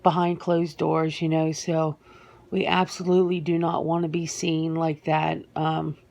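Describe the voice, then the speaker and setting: Reluctant female werewolf played by a woman for ASMR interview roleplay: slow, slightly creaky, hesitant, sentimental. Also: fairly low pitch, darkish tone. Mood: guarded, earnest. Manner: hesitant, halting, with repeated words.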